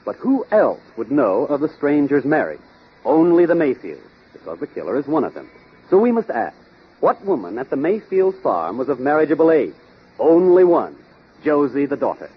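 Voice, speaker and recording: A man's voice: on the high side, big pitch swings, normal speed, heavily acted. An actor playing a clever, methodical young detective in 1930s radio drama, explaining his logic step by step in a theatrical way. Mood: confident reasoning. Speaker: detective lead and quiz host. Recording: husky, muffled 1930s radio recording.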